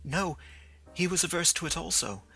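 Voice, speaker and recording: A man's voice: a little high, expressive, moderate pace, creaky, sharp tone, slightly rough, faint music behind, voice pushed up, exaggerated voice, low-quality recording. A man voicing an earnest young woman who is devoted to her father, speaking in a raised, pleading voice.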